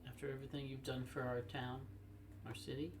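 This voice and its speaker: A woman's voice: rumbling low, flat delivery, brisk. Female player, playful banter, noisy recording.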